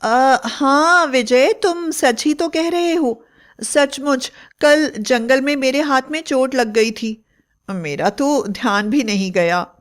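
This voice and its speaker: A woman puts on a young wife from a bedtime tale, high and unhurried. She is sweet and coaxing, full of affection, with something eerie and witchy underneath. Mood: sly, sweet. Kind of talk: dramatized Hindi storybook acting.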